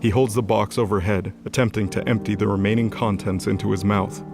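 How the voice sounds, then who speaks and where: A man's voice: lowish voice, fairly even intonation, fairly quick. A man narrating a scripted fantasy audio drama as its storyteller. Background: music bed.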